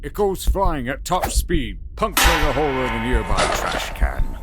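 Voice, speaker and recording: A man's voice: mid-range voice, very animated, moderate pace, very noisy. A man reading scripted, dramatic story narration as the narrator. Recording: prominent music under narration. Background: music.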